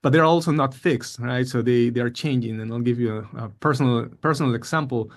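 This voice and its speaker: A middle-aged man from Peru giving an academic presentation on his research project, halting often with fillers and repeated words. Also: mid-range voice.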